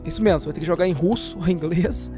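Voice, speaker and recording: A man's voice: sits high, fast, mellow. Brazilian male gaming host, chatty and informal, jokey slang, voice-over with music underneath. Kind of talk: casual, slangy game update voice-over.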